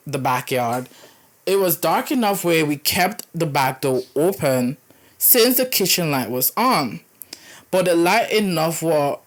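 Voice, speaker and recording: A young woman, reading horror stories aloud and breaking in with dramatic, reactive commentary, often stumbling over the typos. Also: low-pitched. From Caribbean.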